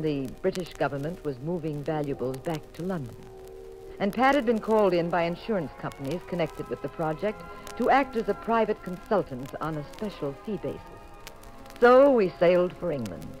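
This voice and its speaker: A woman's voice: deep voice, darkish tone, low-quality recording. A detective's wife tells the story in a wry manner, as scripted narration in a 1950s radio mystery. Faint music plays behind her.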